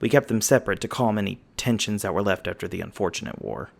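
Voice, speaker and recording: Easygoing magi guide, played by a man, gravelly, patient fantasy storyteller for ASMR roleplay. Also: fairly low pitch, very animated, fast, heavily acted. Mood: storytelling, thoughtful. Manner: folksy, patient explaining.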